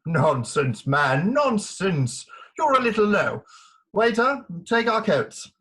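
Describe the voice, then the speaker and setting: A man playing a bluff, commanding middle-aged military gentleman in a Victorian farce reading, full of orders and emphatic exclamations. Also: high voice, very animated, takes it slowly, heavily acted. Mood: brisk, commanding.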